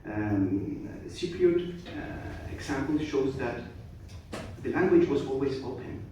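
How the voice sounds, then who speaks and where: Man, halting formal speech, echoey hall. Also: medium pitch, animated, darkish tone. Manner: halting, many hesitations and restarts. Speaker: guest speaker. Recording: echoey hall, distant mic, faint noise.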